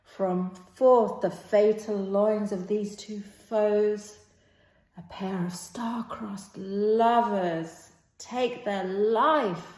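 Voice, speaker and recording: Woman, amateur dramatic reader, slow halting delivery, hushed to projected, roomy echo. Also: medium pitch. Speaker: amateur reader playing all characters. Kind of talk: impromptu dramatic reading of a play aloud. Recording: roomy, echoing sound.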